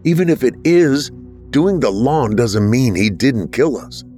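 A man's voice: mid-range voice, expressive, unhurried, darkish tone, soft background music, voice pushed up, close to an everyday voice. A man voicing a defensive, sarcastic young male ghost, in a raised voice. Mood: uncertain.

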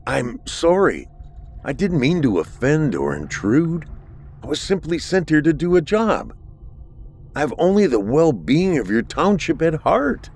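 A man's voice: mid-range voice, lively intonation, moderate pace, voice pushed up, lightly acted. Man doing a nervous, polite inspector. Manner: polite, stammering. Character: nervous young male inspector, polite and hesitant.

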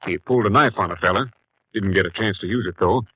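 Husky, terse police captain. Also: male voice, lowish voice, fast, no room sound. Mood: businesslike. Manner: terse, businesslike, sceptical. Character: gruff, weighing male police captain, senior lawman. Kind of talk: 1950s radio drama acting. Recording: muffled 1950s radio recording.

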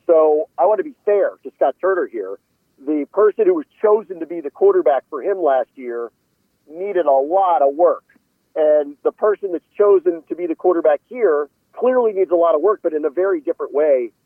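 A man with a slightly high voice analyzes football in a conversational way. He is opinionated but measured, careful to qualify each point. The sound is thin and phone-like, dry, as on a radio call-in. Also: no echo. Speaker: sports analyst interviewed as a guest.

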